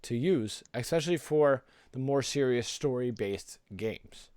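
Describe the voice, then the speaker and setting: A man's voice: mid-range voice, lively intonation, measured pace, a little mellow, clear, resonant tone, no echo, clean studio sound. A man co-hosting a podcast in casual chat, loosely thinking aloud and often hesitating over his words. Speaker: podcast co-host.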